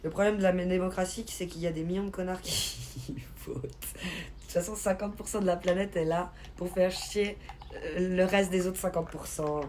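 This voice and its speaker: A woman from Switzerland chatting casually on a live stream, reading and answering viewers' comments in an informal, slangy, expressive way. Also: lowish voice, moderate pace. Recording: live stream with clear background noise.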